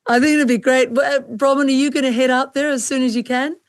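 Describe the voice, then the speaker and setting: A woman's voice: sits high, fairly even intonation, fast talker, no echo, studio quality. A woman hosting a conversational online panel interview, speaking in an earnest, emphatic way. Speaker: interview host.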